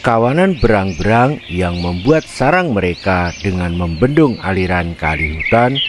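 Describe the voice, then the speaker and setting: Slow, low-voiced man storyteller. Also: noisy background. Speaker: children's storyteller. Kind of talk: scripted narration of a children's fable.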